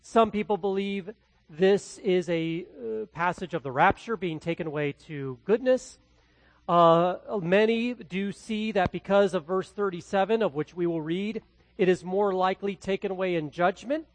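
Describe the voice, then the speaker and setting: A man from the Midwest with a Midwestern accent, preaching a verse-by-verse sermon as pastor, swinging between loud and quietly hushed delivery. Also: sits high, moderate pace, dry recording, rough recording. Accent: Midwestern American. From American Midwest. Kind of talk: expository sermon.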